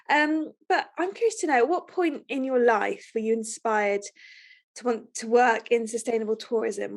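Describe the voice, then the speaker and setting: A woman hosting a podcast, introducing and questioning a guest in a conversational style. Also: on the high side. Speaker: podcast host.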